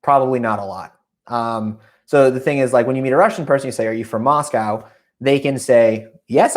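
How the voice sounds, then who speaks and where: Rambling American man, podcast chat. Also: medium pitch. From United States. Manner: rambling, filler-heavy, self-correcting. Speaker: podcast host. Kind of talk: casual solo podcast monologue.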